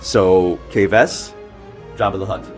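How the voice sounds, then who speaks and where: A man's voice: low-pitched, takes it slowly, loud music behind the voice. A man giving a dramatic, scripted introduction as narration.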